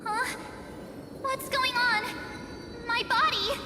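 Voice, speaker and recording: An earnest, excitable young adventurer from a video game. Her voice sits very high and rings in a long reverb, with music behind it. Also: slow. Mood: panicked, confused. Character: bubbly, startled young adventurer woman, earnest and pleading.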